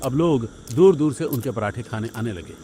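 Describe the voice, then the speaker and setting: A man's voice: medium pitch, animated, normal speed, noisy background. A man reading scripted story narration for a cartoon tale. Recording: background music under the voice.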